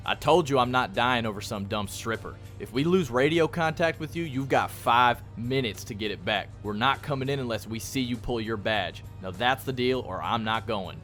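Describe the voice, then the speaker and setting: An actor playing a blunt, wary member of a vice squad in a radio play, talking casually. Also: male voice, medium pitch, animated, normal speed, few pauses, fairly bright tone, faint music behind, close to an everyday voice.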